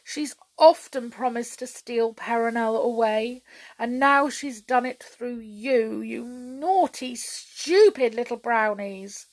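A woman's voice: a little high, slow, pitched above the performer's own voice, poor audio. A woman voicing a blustering, commanding fairy king who scolds sternly, in a children's storybook reading. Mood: grave, upset. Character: hot-tempered, commanding, blustering fairy king, adult man.

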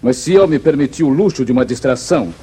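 Casting: man to play steady backlands hero, Brazilian accent, dark warm tone, formal authority, faintly hissy 1950s radio drama. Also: medium pitch, fast talker, close to an everyday voice. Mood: composed. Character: calm, commanding male backlands western hero. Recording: noisy muffled vintage radio recording.

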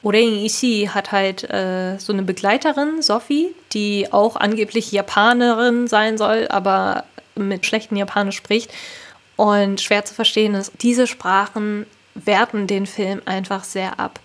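A woman's voice: medium pitch, steady tone, a little slow. Woman giving articulate film critique. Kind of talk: casual film discussion.

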